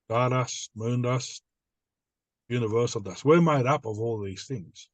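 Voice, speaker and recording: A man's voice: mid-range voice, a little slow, no echo. Older Australian man teaching informally, dry sound. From New South Wales, Australia. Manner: plain-spoken, repeats phrases in simple lists.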